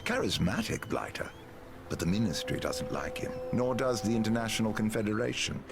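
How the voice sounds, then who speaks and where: A man playing a genial British gentleman in game cutscene dialogue, with a British accent. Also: lowish voice, very animated, brisk, gravelly. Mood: chatty, wry. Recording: music behind the voice.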